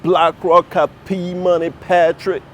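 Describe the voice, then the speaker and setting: A man's voice: high voice, little pitch movement, takes it slowly, loud music behind the voice. A Nigerian man in his thirties, vlogging as he walks down a city street and talks earnestly to his camera, repeating phrases for emphasis. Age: 30s. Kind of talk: walking personal vlog to camera. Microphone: handheld self-filming camera.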